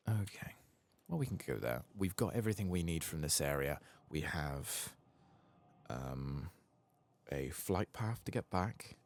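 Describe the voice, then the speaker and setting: Male ASMR game streamer: soft-spoken, slow, heavy vocal fry, hesitant muttering to himself while playing. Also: rumbling low. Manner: murmuring, musing aloud to himself, hesitant. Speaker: gaming channel host.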